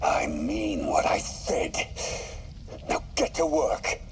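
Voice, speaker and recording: An actor playing a menacing, half-machine male mob boss in a video game, gravelly and commanding. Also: lowish voice, very animated, recorded from a distance, slight background noise. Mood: cold menace. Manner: commanding, deliberate threats. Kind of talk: video game villain acting.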